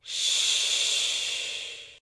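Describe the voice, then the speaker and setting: A woman playing an eerie animatronic in a horror game, hushed, slow and sinister, coaxing as she threatens. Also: slight background noise, heavily acted. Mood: sinister soothing. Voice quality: breathy whisper. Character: eerie female animatronic, menacing yet coaxing. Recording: echoey, long hall reverb.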